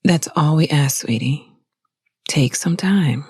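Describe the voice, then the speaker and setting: An actress in an audio drama playing a worried, loving middle-aged mother, gentle and pleading, with a breathy, husky voice. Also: low-pitched, takes it slowly, no room sound, studio quality, heavily acted.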